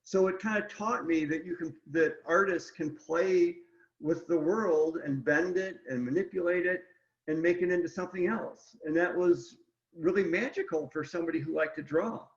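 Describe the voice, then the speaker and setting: A man with a fairly high voice talks casually about his craft as an interview guest, laying each point out step by step. He speaks directly to his listeners. The sound is very roomy and a little dull. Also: moderate pace. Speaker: picture book illustrator, guest. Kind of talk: casual explanatory chat about art.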